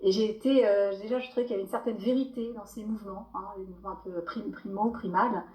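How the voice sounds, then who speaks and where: A woman with a French accent, a podcast guest telling her story in a loose, animated way with many fillers and restarts. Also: mid-range voice, fast. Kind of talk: casual podcast interview. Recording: echoey room.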